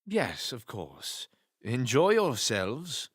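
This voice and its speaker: A man playing a gracious, courtly elf patriarch in an audio drama, with smooth, drawn-out phrasing. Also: medium pitch, big pitch swings, dry recording, studio quality, exaggerated voice. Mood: gracious, assuring. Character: gracious, ambitious elf patriarch and spymaster mage.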